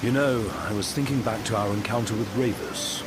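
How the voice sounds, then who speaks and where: An actor playing a composed young royal advisor in a video game, speaking formally and precisely with dry wit. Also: male voice, lowish voice, close to an everyday voice. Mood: grave, analytical. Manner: measured, formal, articulate, dryly witty. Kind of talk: video game cutscene acting. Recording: voice over loud game music.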